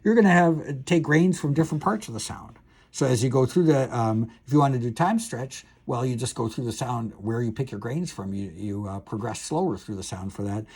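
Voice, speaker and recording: A man's voice: medium pitch, a little fast, a little mellow, husky. A man lecturing as a professor on a technical subject, explaining step by step in a hesitant, thinking-aloud way with self-corrections.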